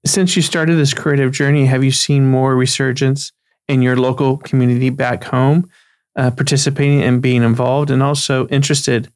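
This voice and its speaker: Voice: medium pitch, darkish tone. A podcast host asking questions in a casual, friendly interview, laughing easily and filling in with short replies.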